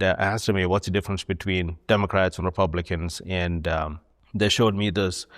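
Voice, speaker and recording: A middle-aged man from India with an Indian accent, a party chairman answering interview questions in a relaxed, rambling way with many fillers. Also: fairly low pitch. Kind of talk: conversational interview.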